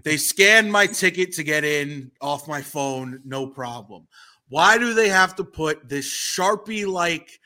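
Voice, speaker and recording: Man, sports radio host, slightly hoarse, bright voice, emphatic, casual chat. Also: a little high, expressive, takes it slowly. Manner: emphatic, opinionated. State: a little hoarse.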